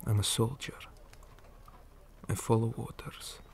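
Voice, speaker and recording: A man playing a weary, stern orc commander in an ASMR roleplay, speaking in a hushed, deliberate manner that often drops toward a whisper. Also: mid-range voice, flat delivery, creaky, a little hiss or hum. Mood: grave, weary. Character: weary male orc commander, stern, measured, dutiful soldier. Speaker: interrogator in a roleplay.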